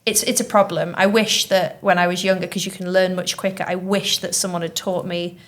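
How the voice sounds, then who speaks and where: A woman's voice: lowish voice, level tone, fairly quick. A woman from Manchester with a Mancunian accent, a teacher hosting a school podcast and chatting encouragingly with pupils. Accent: Mancunian English. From Manchester, England. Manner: chatty, encouraging, lots of "like" and "okay". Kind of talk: casual interview chat with pupils.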